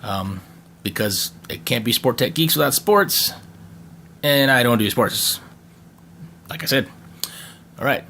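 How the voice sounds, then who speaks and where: Man, raspy voice, casual unboxing talk, many ums and restarts, slight background noise. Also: medium pitch, animated, measured pace, long pauses, pushed and bright. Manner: joking asides, frequent fillers and restarts. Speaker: channel co-host doing an unboxing. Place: home gaming room.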